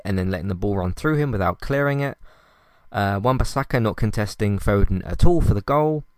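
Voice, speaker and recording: A man hosting a solo podcast, talking in a calm, conversational way with frequent fillers and restarts. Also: fairly low pitch, no echo, clean studio sound. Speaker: podcast host.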